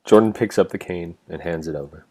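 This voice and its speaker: Low-voiced man reading stage directions. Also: a little fast, a little mellow. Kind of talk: scripted narration.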